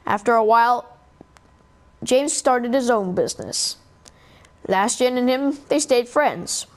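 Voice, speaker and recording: A woman hosting a gaming video, telling a game backstory in a slow, halting way. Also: on the high side, expressive, a little hiss or hum. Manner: slow, halting storytelling narration. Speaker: gaming channel host.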